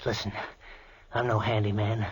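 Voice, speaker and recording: A man's voice: on the low side, little pitch movement, normal speed, muffled recording, slight background noise, natural voice. An actor in a 1940s radio drama playing a brash, slangy drifter posing as a handyman, pushy and cocky. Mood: pressing.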